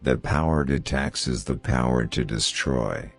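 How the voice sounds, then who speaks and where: A man reads famous quotations as a scripted narration, in a measured, formal manner. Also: rumbling low, gravelly. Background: background music.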